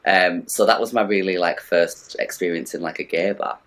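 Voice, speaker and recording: Guest: young camp man, low-pitched, chatty, keeps asking "you know what I mean". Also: normal speed, a bit bright, a little hiss or hum. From northeast England. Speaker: guest, drag performer. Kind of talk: casual interview chat.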